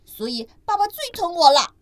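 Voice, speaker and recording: A woman's voice: high-pitched, expressive, normal speed, no room sound, pitched above the performer's own voice. A woman voicing a boastful little baby ankylosaur with a smug, childish way of talking.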